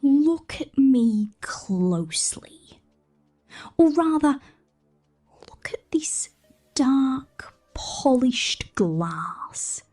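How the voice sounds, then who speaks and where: A woman performing an ASMR roleplay as a possessive, teasing space mechanic with a condescending maternal streak, speaking softly and often slipping into breathy whispers. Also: on the high side.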